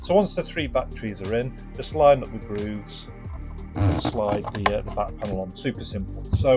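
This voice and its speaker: A man's voice: on the low side, normal speed, over music. A man giving a casual product demo and review, explaining step by step in a hesitant, unscripted way. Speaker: presenter of a vintage radio restoration channel. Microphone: microphone set up for the demo.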